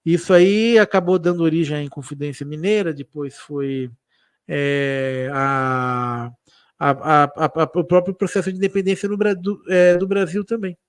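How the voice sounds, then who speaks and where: A man's voice: on the high side, fairly even intonation, moderate pace, smooth, no room sound, studio quality. A Brazilian man with a São Paulo accent with a slight Italian lilt, chatting loosely about history on a live stream, with many restarts and slangy fillers. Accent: São Paulo Portuguese with an Italian-tinged lilt. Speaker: guest speaker on history. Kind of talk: casual live-stream chat.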